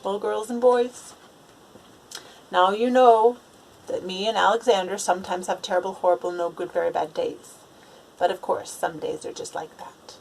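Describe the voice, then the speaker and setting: A woman storyteller talking to children in an expressive picture book read-aloud style, with emphatic pauses. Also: mid-range voice, vocal fry, a little hiss or hum, low-quality recording.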